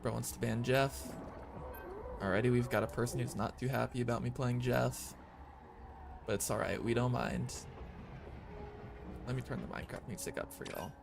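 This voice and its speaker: Soft-spoken young man with a drawl. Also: medium pitch, moderate pace, vocal fry, long pauses, over music. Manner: soft, calm, hushed talk. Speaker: streamer. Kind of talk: quiet casual gameplay chat. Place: room at home at night.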